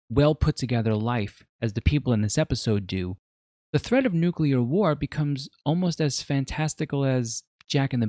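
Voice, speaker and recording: Animated male reviewer in a dry recording. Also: on the high side, dry recording. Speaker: film and media reviewer. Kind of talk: scripted video essay narration.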